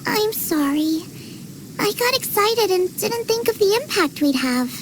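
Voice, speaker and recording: An actress playing an excitable, cheerful young female chef in a video game, with a bubbly, eager and expressive manner. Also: very high voice, unhurried, background noise. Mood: apologetic. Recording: game music behind.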